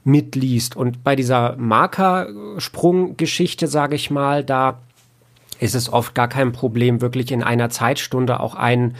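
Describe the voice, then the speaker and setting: A man's voice: medium pitch, unhurried. A man speaking casually in an interview as an audio editor, explaining how he works in a hesitant, thinking-aloud way.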